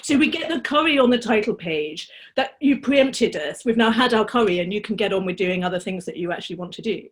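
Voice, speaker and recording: A woman in middle age hosts a literary conversation over a video call. She is articulate and engaged, with a voice of average pitch, speaking from a study indoors. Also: expressive, a little fast, hardly any pauses. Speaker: interviewer, host. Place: book-lined study, indoors. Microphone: computer mic on video call.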